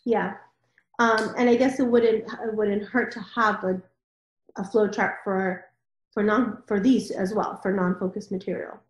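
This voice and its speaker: A woman's voice: mid-range voice, steady tone, unhurried. A woman hosting a discussion, reading formal requirements aloud and moderating. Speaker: discussion host. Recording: roomy, dull lo-fi mic.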